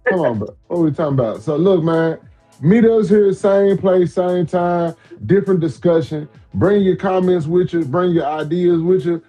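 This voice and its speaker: A man in his forties co-hosting a casual sports podcast. He talks in loose, emphatic slang and keeps repeating himself for effect. His voice is warm and mellow, sitting a touch higher than most men's, with soft music behind. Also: moderate pace. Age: 40s. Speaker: podcast co-host.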